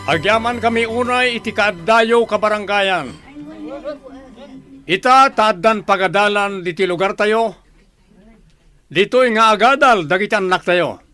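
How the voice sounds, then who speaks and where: A man acts out a friendly village leader and family man in an Ilocano radio drama. His voice is high for a man, bright and forceful, with an earnest manner. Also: measured pace, long pauses, faint music behind. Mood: proud, earnest.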